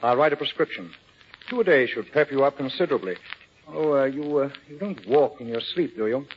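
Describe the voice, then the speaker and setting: An actor playing a matter-of-fact middle-aged physician in 1940s radio drama, genial and gently bossy. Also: male voice, mid-range voice, animated, a little fast, lightly acted. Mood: concerned, dry. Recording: muffled, noisy 1940s radio broadcast recording.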